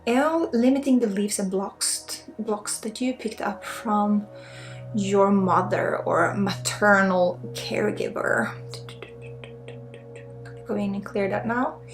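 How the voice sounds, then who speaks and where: Creaky Swedish woman guiding ASMR healing. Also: medium pitch. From Sweden. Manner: soft-spoken, often dropping into whispers, with pauses. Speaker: ASMR energy healer and spiritual guide. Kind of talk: ASMR guided energy healing. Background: music.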